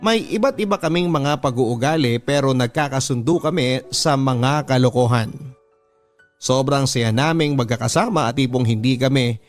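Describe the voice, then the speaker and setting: A man's voice: medium pitch, moderate pace. Filipino man narrating sentimental letters over music. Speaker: radio host reading listeners' letters. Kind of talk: dramatic scripted narration of personal love-story letters. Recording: music playing under the voice. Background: background music.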